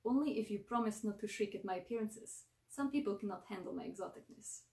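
A woman's voice: medium pitch, quick pace, gravelly, darkish tone, close to an everyday voice. Lady doing a blunt squirrel monkey boy, thick russian accent, touchy n wary, storybook critter, echoey and far off. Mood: indignant. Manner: blunt, clipped, broken grammar. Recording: roomy echo, far from mic.

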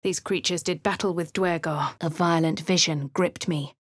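A woman playing a breathy posh English adventurer. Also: on the low side, steady tone, moderate pace, no echo, natural voice. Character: posh female adventurer, wry and watchful. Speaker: voice actor for a player character. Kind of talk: video game voice lines. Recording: dry studio booth.

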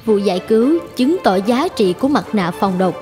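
A woman's voice: mid-range voice, animated, normal speed, darkish tone, soft background music. A woman reading scripted story narration as a narrator.

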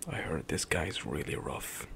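A young man whispering relaxed commentary as he plays through a game for an ASMR stream, often trailing off mid-thought. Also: extremely low pitch, a little slow, vocal fry, slight background noise.

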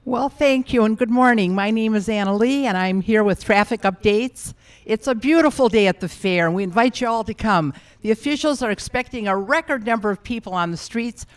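A woman's voice: on the high side, a little fast. An older woman at a fair news booth, giving a playful mock traffic and weather report into a handheld mic, chatty and ad-libbing. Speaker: visitor playing a traffic reporter.

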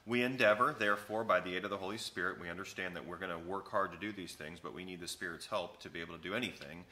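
A man in his thirties, a pastor, explaining and reading a church covenant to new members in a relaxed, conversational way, recorded on a close camera in an empty sanctuary. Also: deep voice, fast talker. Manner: conversational, explains each passage after reading it, many fillers. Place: empty church sanctuary with wooden pews, indoors. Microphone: camera at close range.

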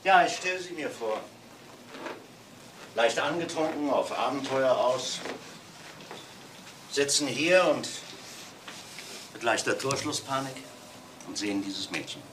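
An actor playing a polite, measured visiting detective in a radio crime drama, heard from off-mic. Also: male voice, medium pitch, animated, close to an everyday voice. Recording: distant voice, hissy old radio tape.

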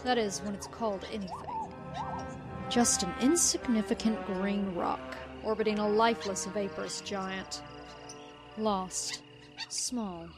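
A woman performs a ruthless bounty hunter in a dramatic comic dub. Her delivery is cold, clipped and deliberate, aloof and threatening. Music plays clearly behind her. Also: mid-range voice, lightly acted. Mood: brooding.

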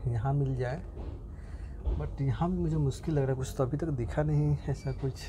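A young man films his train journey on a phone and keeps up a loose, rambling commentary full of fillers and restarts. His voice sits in a middle pitch. Clear station and carriage noise runs under his voice. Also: moderate pace, mellow. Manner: chatty, thinking aloud with frequent fillers and restarts. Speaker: vlogger. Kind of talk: casual travel vlog commentary. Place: inside a train compartment at a station, by the window. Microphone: phone camera held near the window. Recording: noisy phone recording in a train. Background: station and train ambience.

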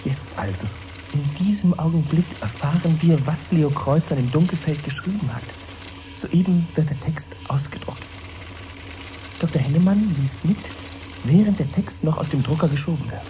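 An actor playing an earnest live radio reporter in a radio play, formal, explanatory and expectant. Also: male voice, fairly high pitch, normal speed, mellow, slight background noise, lightly acted, low-quality recording. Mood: hushed excitement. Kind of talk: German radio play acting as a live broadcast.

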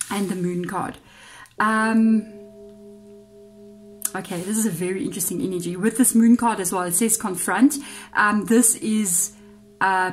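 A woman's voice: medium pitch, a little mellow, slight background noise. A woman with a South African accent giving a casual tarot reading, musing aloud and talking straight to her viewers, her voice a little off. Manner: chatty, musing aloud, addresses viewers directly. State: voice a bit off, slightly unwell-sounding. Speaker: tarot reader. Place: indoors at a tabletop altar.

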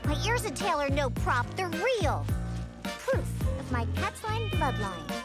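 A woman's voice: sits high, big pitch swings, a little slow. A woman voicing a sassy, huffy young cat-girl bartender in a video game trailer. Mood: haughty. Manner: huffy, haughty. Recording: game trailer with music behind.

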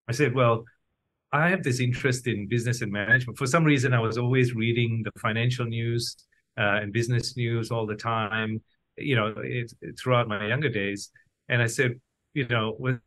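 A man's voice: medium pitch, fairly bright tone. A middle-aged man from Malaysia giving reflective, conversational answers as an interview guest. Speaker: podcast guest, doctor turned entrepreneur.

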